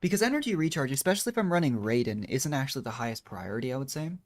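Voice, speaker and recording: A man's voice: mid-range voice, lively intonation, rapid-fire. A man doing casual, rambling gameplay commentary as a streamer, thinking aloud in a modest, unsure way. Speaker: video game streamer.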